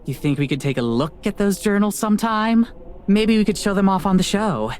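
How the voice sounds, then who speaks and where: A woman's voice: medium pitch, expressive, a little fast, a little mellow, a little hiss or hum, natural voice. A woman playing an upbeat young show host in a visual novel, with casual, enthusiastic, slangy delivery. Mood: excited. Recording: music behind the voice.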